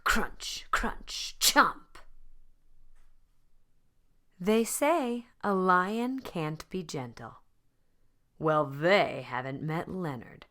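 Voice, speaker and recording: A woman narrates a children's storybook in a low voice. She reads slowly and deliberately, leaving dramatic pauses, and her pitch rises and falls expressively. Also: lively intonation, fairly bright tone. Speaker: storybook reader.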